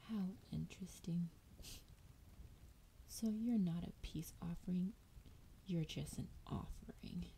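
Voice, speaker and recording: A sultry female dragon, played by a woman, speaks in a breathy, extremely slow whisper for a fantasy roleplay. Her tone is very dark and soft, teasing with an edge of menace. There is some background noise around the voice. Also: fairly low pitch. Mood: flirtatious, playful. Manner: slow, teasing, drawn-out delivery.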